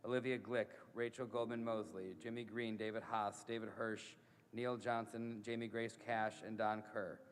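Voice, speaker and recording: A man leading a synagogue service as rabbi, gentle and halting, often repeating his words. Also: on the low side, little pitch movement, smooth. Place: synagogue sanctuary. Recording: reverberant sanctuary with music.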